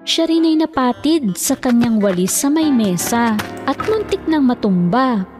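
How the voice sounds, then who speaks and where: A woman narrating a scripted children's fairy tale as an expressive storyteller. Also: a little high, a little slow, soft background music.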